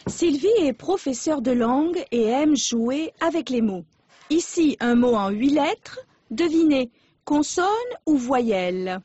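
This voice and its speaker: A woman narrator reading a scripted documentary text with calm, measured delivery. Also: sits high, husky, no room sound, highs cut off.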